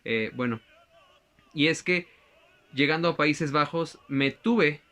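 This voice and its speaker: A man's voice: a little high, slow pace, pushed and bright. A young man playing a French philosopher in a school presentation, chatty and joking, often correcting himself mid-sentence. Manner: rambling, self-correcting, joking asides. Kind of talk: costumed school presentation as a historical figure.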